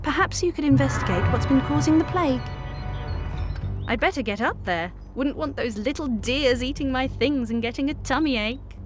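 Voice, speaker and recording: A woman playing a wry, bossy young mistress in a fantasy video game, with a brisk and arch delivery. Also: sits high, animated. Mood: wry, self-assured. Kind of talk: fantasy game character dialogue. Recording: game music under the voice.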